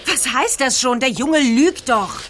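A stern, suspicious interrogator grills a boy in a radio drama. Her tone is cold and accusing, pitched high and moving a lot. A faint hiss sits under the voice. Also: lively intonation, measured pace, a bit bright. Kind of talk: radio play acting.

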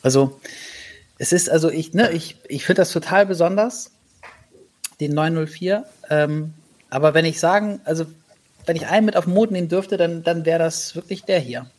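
A man's voice: on the high side, moderate pace. A man co-hosting a casual podcast chat, enthusiastic and hesitant, restarting his sentences. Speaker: podcast co-host.

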